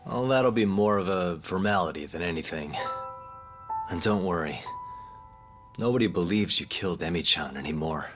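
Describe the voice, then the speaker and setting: Casting: easygoing young detective for a game drama, low voice, music underneath. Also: male voice, expressive, normal speed. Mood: reassuring. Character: cool, easygoing young male detective and ex-attorney. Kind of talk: casual video game cutscene dialogue.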